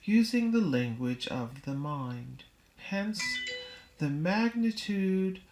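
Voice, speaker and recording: A woman reading a book aloud as scripted narration, in deliberate, measured phrases with pauses. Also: low voice, poor audio. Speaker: audiobook reader.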